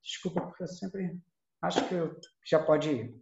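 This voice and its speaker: A man's voice: a little high, fairly even intonation, normal speed, creaky, background noise. Male acting teacher in an echoey call. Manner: explains with examples, many restarts. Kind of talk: teaching an online class. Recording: dull echoey sound.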